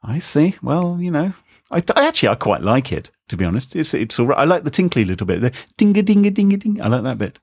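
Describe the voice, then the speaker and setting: A man's voice: a little high, very animated, fast talker, darkish tone, slightly rough, no room sound. Man hosting a chatty podcast, bouncy intonation, dry close mic, wry and rambling. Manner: jokey, rambling, self-mocking. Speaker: podcast host. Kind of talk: casual podcast chat.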